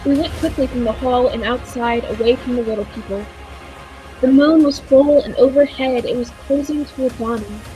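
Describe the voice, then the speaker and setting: A woman's voice: high voice, moderate pace, dark tone, soft background music, lightly acted, poor audio. A woman playing an earnest, thoughtful time traveller who narrates a radio play with dramatic storytelling. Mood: tense, dread.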